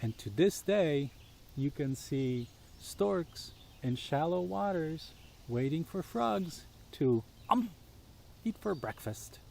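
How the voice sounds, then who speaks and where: Slow, mellow man telling children stories. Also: on the high side, lively intonation, creaky, a little hiss or hum. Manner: simple, inviting, addresses children with questions. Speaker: storyteller reading for children. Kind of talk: children's storytelling. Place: outdoors.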